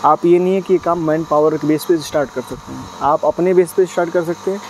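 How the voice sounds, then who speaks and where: A man's voice: on the high side, fairly even intonation, quick pace, darkish tone, a little hiss or hum, low-quality recording. A man in his thirties, outdoors at night beside a delivery van, explaining a dairy business at length with frequent "uh" fillers and English business terms. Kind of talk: casual interview answers.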